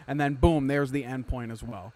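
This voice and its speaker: A man's voice: medium pitch, steady tone, normal speed, darkish tone. A man in his thirties hosting a podcast, chatting casually in an emphatic, profane way and giving advice. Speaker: podcast host.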